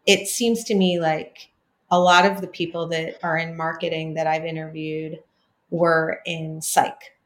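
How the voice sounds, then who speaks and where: A woman's voice: deep voice, flat delivery, measured pace. A woman hosting a podcast interview, chatty and rambling with lots of fillers. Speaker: podcast host. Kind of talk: casual interview chat.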